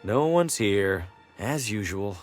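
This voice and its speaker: A man's voice: on the low side, lively intonation, slow, over music. A man playing a wry, down-to-earth traveling salesman who thinks aloud in a dry, conversational way. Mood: puzzled, determined. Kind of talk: video game character acting, thinking aloud.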